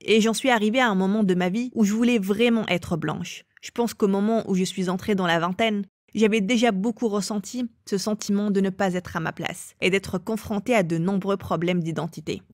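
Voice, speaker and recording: A woman's voice: medium pitch, fairly even intonation, brisk, hardly any pauses, no room sound, studio quality. A woman from England telling her own life story as an interview guest. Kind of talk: personal testimony in an interview.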